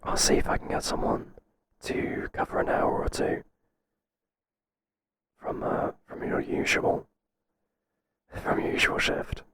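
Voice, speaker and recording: A man's voice: noisy background, close to an everyday voice. Man acting a whispering, hesitant, kindly boss. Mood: hesitant, accommodating. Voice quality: breathy whisper. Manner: hesitant, soft-spoken, halting. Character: mild, hesitant, kindly male workplace boss, flustered by flattery. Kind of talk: whispered ASMR roleplay.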